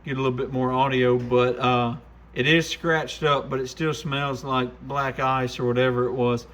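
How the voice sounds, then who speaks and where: A man's voice: mid-range voice, little pitch movement, moderate pace, a bit bright. A man hosting a casual hands-on product demo, thinking aloud informally as he tinkers. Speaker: radio shop reviewer and video host.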